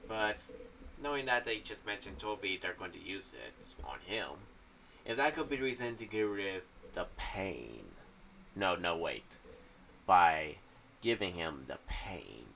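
Bright-voiced young man, low pitch, rambling. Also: vocal fry. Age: young adult. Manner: rambling, halting, repeats himself. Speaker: YouTube vlogger. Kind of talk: casual commentary on a horror audio series. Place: small home room. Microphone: condenser mic with pop filter on boom arm. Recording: distant-sounding mic with faint background noise.

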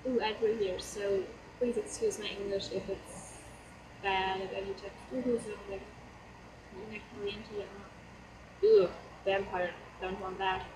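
A teenage girl from Germany with a German accent talks through her game in a casual, hesitant way, with plenty of fillers. Her voice is a bit hoarse from a cold. She sounds distant in an echoey room, with laptop fan noise humming behind her. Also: medium pitch, flat delivery, measured pace. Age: teens. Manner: chatty, hesitant, many fillers. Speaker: let's play host. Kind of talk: casual gameplay commentary. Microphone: laptop mic.

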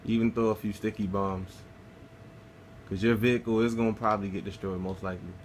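A man's voice: lowish voice, steady tone, fairly quick, a little hiss or hum, rough recording. A man casually talking through a game walkthrough, laid-back and swearing freely as he plays. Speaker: gameplay guide narrator.

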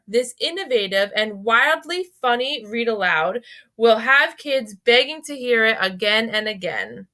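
A woman reading a scripted narration that recommends children's books, expressive and carefully enunciated. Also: fairly high pitch. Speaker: library book recommender, presenter. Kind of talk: scripted promotional narration of children's book picks.

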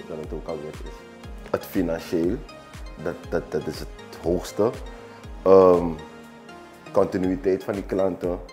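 A man in his thirties with a Surinamese accent, answering in an unscripted interview in a halting way, repeating phrases for emphasis. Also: low-pitched, dark tone. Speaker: barber, small business owner interviewee. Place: small barbershop, indoors, seated. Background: background music.

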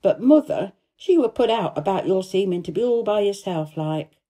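A woman playing a cheerful, warm-hearted young housemaid who gossips eagerly in broad Yorkshire dialect, in a storybook reading. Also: medium pitch, lively intonation, normal speed, close to an everyday voice. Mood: chatty, earnest.

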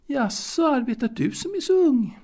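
Man voicing a coaxing genteel widow, slightly husky. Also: very high-pitched, very animated, a little mellow, voice pushed up, full character voice. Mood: coy, flattering. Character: seductive middle-aged widow, genteel and coaxing. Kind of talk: audio drama monologue acting.

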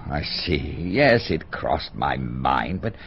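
An actor playing a cautious, dryly disapproving English solicitor with a British accent, measured and formal, in a radio drama. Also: male voice, extremely low pitch, big pitch swings, vocal fry, breathy edge, muffled recording, full character voice. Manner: measured, dry, formal, guarded.

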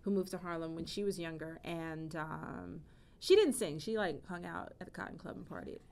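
A woman in her thirties, a stage actress and singer, chatting casually in an interview, low-voiced and often laughing. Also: normal speed, creaky. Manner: laughing often, animated with hand gestures. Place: indoor room with wooden shelves.

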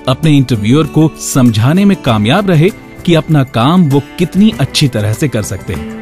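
A man's voice: a little high, darkish tone. A man hosting a radio show, reading scripted narration. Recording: music behind the voice. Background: background music.

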